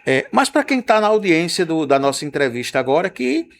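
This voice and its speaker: A man's voice: fairly high pitch, very animated, a little fast, vocal fry. A man with a Brazilian Portuguese accent hosting a radio interview, asking long, winding questions with "não é?" tags.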